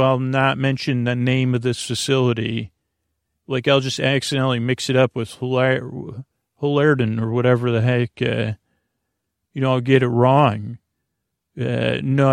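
A man with a gravelly voice hosting a bedtime podcast, talking in a halting, meandering monologue that keeps wandering into tangents. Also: medium pitch, dry recording, studio quality. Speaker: podcast host.

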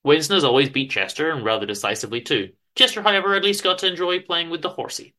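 A man's voice: fairly high pitch, animated, quick pace, sharp tone. A man narrating a scripted story dramatically, with a theatrical delivery.

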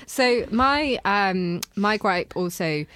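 A woman's voice: medium pitch, expressive, slow pace, a bit bright, no room sound. A woman hosting a casual podcast chat. She talks easily and laughs often. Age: 30s. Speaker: podcast host.

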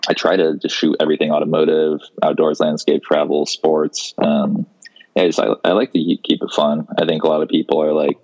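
Young man in his twenties, very low and monotone, relaxed interview guest with lots of restarts, dull lo-fi sound. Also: fairly quick, husky. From Seattle, Washington, US. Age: 20s. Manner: laid-back, many fillers and restarts. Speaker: podcast guest, photographer. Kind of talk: casual interview chat.

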